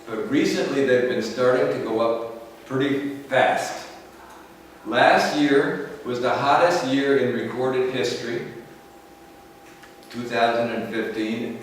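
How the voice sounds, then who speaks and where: An American man giving a slow, explanatory lecture to a school class, often checking in with "okay?". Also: medium pitch, slight background noise. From United States. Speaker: English teacher. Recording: echoey classroom from far off.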